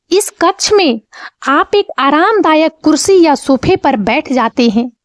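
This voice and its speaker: A woman narrating a scripted guided meditation, with measured, soothing instructions. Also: high voice, dry recording, studio quality.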